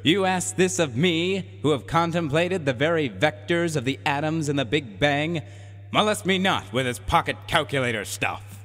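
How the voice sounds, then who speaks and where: A man's voice: fairly high pitch, animated, moderate pace, a bit bright. A man playing a pompous, haughty supercomputer in a science fiction radio drama, declaiming in grandiose archaic phrases. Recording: long reverberant hall echo.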